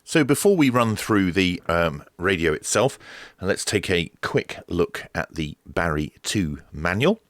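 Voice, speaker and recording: A man's voice: low-pitched, big pitch swings, unhurried, no echo. A man giving a casual, unscripted unboxing review, explaining things as he goes in a hesitant way. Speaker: radio gadget reviewer.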